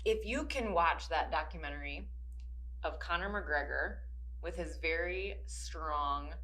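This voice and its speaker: An American woman co-hosting a podcast in a casual, animated, giggly storytelling chat. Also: sits low, measured pace, rough recording. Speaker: podcast co-host.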